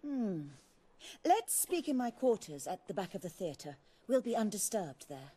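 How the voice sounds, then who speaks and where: An actress playing a poised, shrewd theatre troupe leader in a video game, speaking in a measured, formal way. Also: female voice, medium pitch, sing-song, warm tone, husky, long reverb tail. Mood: courteous, curious. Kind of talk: video game dialogue acting.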